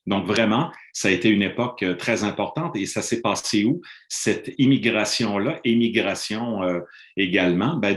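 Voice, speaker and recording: A middle-aged man presents to an online panel, his voice low and dark. He explains in a measured, lecturing way and stops often on drawn-out "euh" hesitations. He sounds roomy, as if speaking into a laptop in an echoing room over a video call. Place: room in Montreal, remote presentation.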